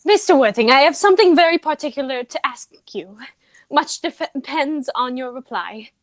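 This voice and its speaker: A woman's voice: high-pitched, expressive, normal speed, dry recording. A woman acting a haughty, dignified young society lady in a radio play comedy, speaking in a formal, declarative way.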